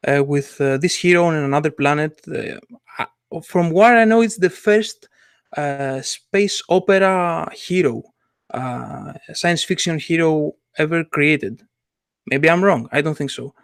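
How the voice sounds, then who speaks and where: Male co-host, fairly high voice, dry close sound, halting and eager delivery. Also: lively intonation, clear, resonant tone, no room sound. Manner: halting, many "uh" fillers, enthusiastic. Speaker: podcast co-host, comic artist. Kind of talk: casual chat.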